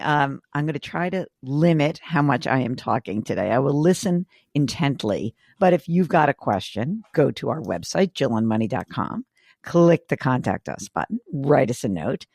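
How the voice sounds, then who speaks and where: A woman hosting a call-in money advice show, hoarse and raspy today, asking brisk, direct questions. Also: sits low, no room sound, studio quality. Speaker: host.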